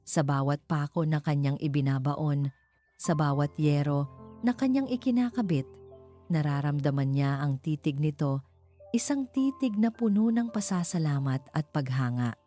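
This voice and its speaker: A woman's voice: low-pitched, lively intonation, moderate pace, warm tone, faint music behind. A woman with a Filipino accent reading scripted narration of a romance story as its narrator.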